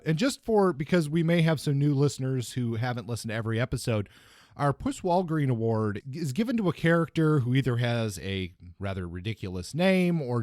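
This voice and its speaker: A man co-hosting a casual book discussion, speaking in a measured, tentative way that softens his points. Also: medium pitch, no echo, clean studio sound. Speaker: podcast co-host.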